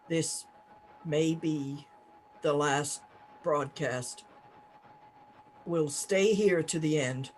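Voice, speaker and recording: Guy doing an old-time radio newsman in a disaster play, high voice, slow halting bulletins, bit of background noise. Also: poor audio. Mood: grim, resigned. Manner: halting, clipped bulletin phrases. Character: doomed male radio announcer reporting an invasion, grave, resolute. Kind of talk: 1930s radio play news bulletin acting.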